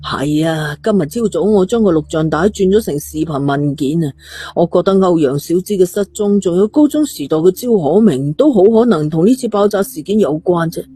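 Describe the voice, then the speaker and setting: A man's voice: high-pitched, lively intonation, fairly quick, mellow, husky, dry recording. A man voicing a brash, foul-mouthed, exasperated doctor with a Hong Kong Cantonese accent, blunt and teasing in an audio drama. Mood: earnest, teasing.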